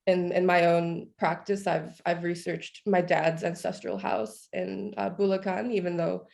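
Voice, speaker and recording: Young woman moderator with gravelly low voice. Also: flat delivery, a little slow, darkish tone. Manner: casual, thoughtful, filler "like". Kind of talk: panel moderation.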